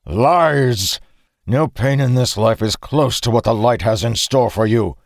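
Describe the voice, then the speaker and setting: A man's voice: sits low, unhurried, darkish tone, no room sound. Man performing male fantasy revolutionary, bereaved, venomous, snarling, harsh, bitter, audiobook drama, dry sound.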